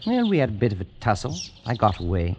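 A man's voice: lowish voice, huge pitch swings, fast, darkish tone, a little hiss or hum. A man playing a careless, arrogant adventurer in an old-time radio drama, flippant and scoffing, hesitant when cornered. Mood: evasive. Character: careless arrogant male adventurer, later haunted. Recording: lo-fi archival radio recording with music.

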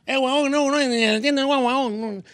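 Man, Mexican accent, high voice, dry close sound, playful host banter. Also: steady tone, quick pace, a bit bright, no room sound, faint music behind. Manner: jokey, imitates accents playfully. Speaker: radio show host. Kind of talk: casual studio banter.

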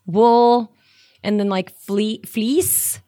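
Animated woman guest, lots of "like". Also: a little high, very slow, dry recording, studio quality. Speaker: guest musician. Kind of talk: casual interview chat. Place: small side room in a restaurant.

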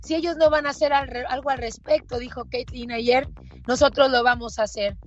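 High, husky Mexican woman host. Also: fairly even intonation, fairly quick, muffled recording. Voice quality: husky, hoarse-edged voice. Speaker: show host. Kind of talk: conversational radio-style talk.

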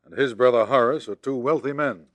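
An actor playing a hard-boiled but sympathetic police commissioner in an old-time radio mystery. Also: male voice, mid-range voice, fairly quick, dry recording, natural voice. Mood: grave, sympathetic.

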